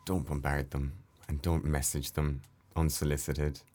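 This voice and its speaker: Very deep-voiced Irish man. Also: a little slow. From Ireland. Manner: chatty, anecdotal, relaxed. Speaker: actor guest. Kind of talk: casual interview chat.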